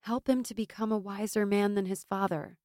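A woman's voice: mid-range voice, fairly even intonation, normal speed, mellow, dry recording, clean studio sound, natural voice. Woman voicing a fierce, stubborn young noblewoman's friend. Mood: earnest. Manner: insistent, impassioned, gaining momentum.

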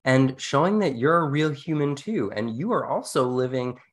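A man's voice: mid-range voice, expressive, normal speed. A man co-hosting an educational podcast, talking through teaching advice in an earnest, explanatory, conversational way. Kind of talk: conversational teaching-tips discussion.

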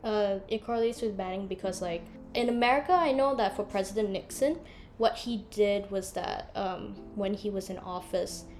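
A woman's voice: medium pitch, moderate pace, darkish tone, slight background noise. A woman from Singapore hosting a casual podcast discussion, explaining things at length in an informal way. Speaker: podcast host.

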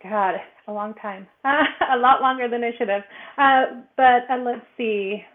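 A woman's voice: a little high, measured pace. A woman in her forties from Los Angeles, a podcast guest telling her story hesitantly with many fillers. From Los Angeles, California. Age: 40s. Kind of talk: personal story in a podcast chat. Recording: tinny phone-like line.

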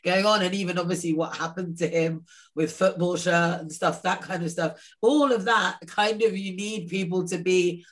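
A woman's voice: fairly low pitch, fairly even intonation, moderate pace. A woman co-hosting a casual video-call chat, thinking aloud in a reflective way. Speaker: co-host.

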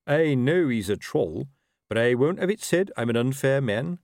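A man's voice: mid-range voice, expressive, normal speed, darkish tone, dry recording, studio quality, pitched above the performer's own voice, natural voice. Man doing a pompous, dim commanding officer. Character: self-important, dim male commanding officer, blustering.

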